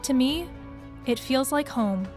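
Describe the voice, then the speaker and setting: A young woman from Canada, a dancer, reading scripted narration in a smooth, even way. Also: sits high, takes it slowly. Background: music.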